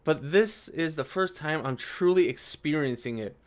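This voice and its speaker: A man with a slightly high voice gives casual, off-the-cuff commentary as a video host. He sounds sarcastic and argumentative, breaking away from reading aloud to make his own pointed remarks. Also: normal speed.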